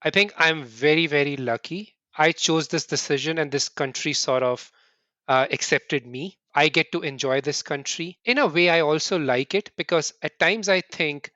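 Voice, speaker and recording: A middle-aged man from India, answering interview questions earnestly in quick bursts and often restarting with "right?". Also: on the high side, pushed and bright, dry recording, dull audio. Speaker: podcast guest.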